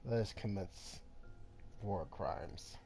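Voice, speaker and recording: A man live streaming a game with casual, informal commentary, muttering to himself between louder reactions. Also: fairly low pitch, slow pace, slight background noise.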